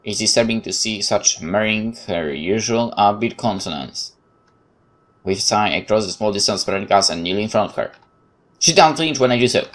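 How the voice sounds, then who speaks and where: A man hosting a let's play, reading narration aloud in a measured, dramatic way with casual commentary. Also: fairly low pitch, animated.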